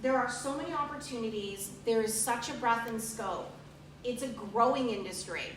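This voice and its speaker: High-voiced enthusiastic woman presenter. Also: normal speed, noisy background. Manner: enthusiastic, emphatic, repeats key phrases. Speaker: program presenter. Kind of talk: upbeat recruiting presentation. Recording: echoey room, far from mic.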